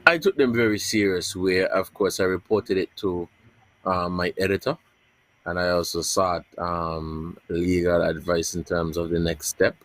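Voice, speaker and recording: A man, a journalist answering interview questions in a measured way, often repeating words as he searches for them. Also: low voice, fairly even intonation, fairly bright tone, no room sound, rough recording. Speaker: journalist guest.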